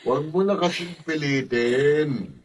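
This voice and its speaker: A man's voice: on the high side, unhurried. An actor playing an obsessive man who keeps a woman locked up, smug and coaxing, in a radio drama. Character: obsessive male captor, smug and possessive.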